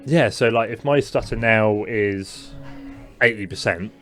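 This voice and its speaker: A young man with a mild stutter who co-hosts a podcast, telling a personal story in casual chat with many fillers and halting restarts. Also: lowish voice, a bit bright, faint music behind. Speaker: podcast co-host.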